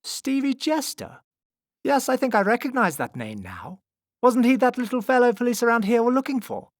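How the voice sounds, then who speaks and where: Guy doing a big gentle strongman from a kids book, sincere, calming, voice kinda high and lilting, dry audio. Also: normal speed, no room sound, heavily acted. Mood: puzzled.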